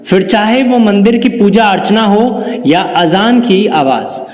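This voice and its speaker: A man's voice: high voice, lively intonation, a little slow, a little mellow. A man presenting a scripted news explainer, narrating and commenting to the viewer. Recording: echoey, reverberant room.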